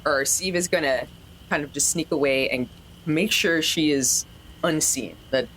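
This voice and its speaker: A woman's voice: deep voice, a little slow, a bit bright, a little hiss or hum, poor audio. A woman playing a tabletop game, talking casually and hesitantly as she thinks aloud.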